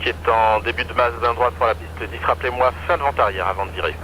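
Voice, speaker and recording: A man working as an air traffic controller, giving instructions over aviation radio with hesitant, halting phrasing. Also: medium pitch. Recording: noisy aviation radio with aircraft drone.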